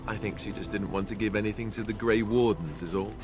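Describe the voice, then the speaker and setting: A man's voice: lowish voice, quick pace, lightly acted. A man voicing a young male warrior and former templar trainee in a fantasy video game, speaking with wry, self-mocking humor. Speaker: companion character in a video game. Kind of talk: voiced game dialogue. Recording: game audio over background music. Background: game soundtrack music.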